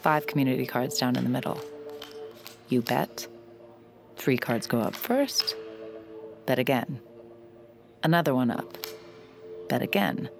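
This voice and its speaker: A woman's voice: extremely low pitch, expressive, measured pace, gravelly, pauses often, over music, heavily acted. An actress playing a sly, cocky young woman poker hustler who narrates her con in a wry, confiding way in an audio drama. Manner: wry, confiding, self-assured. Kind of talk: first-person audio drama narration and dialogue.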